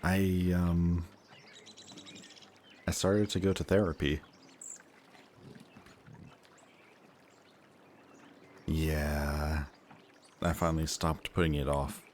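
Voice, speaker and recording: Man acting as male reformed antihero, extremely deep voice, remorseful, affectionate, hesitant confiding delivery, intimate roleplay audio, some background noise. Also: level tone, takes it slowly, pauses often, darkish tone. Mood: confiding, wry. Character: reformed antihero best friend, remorseful, affectionate, emotionally open.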